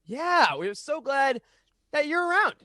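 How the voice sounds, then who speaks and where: Bright, high-pitched male podcast host. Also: very animated, measured pace, no echo, clean studio sound. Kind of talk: upbeat podcast hosting chat. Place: small home studio room. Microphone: boom-arm microphone up close.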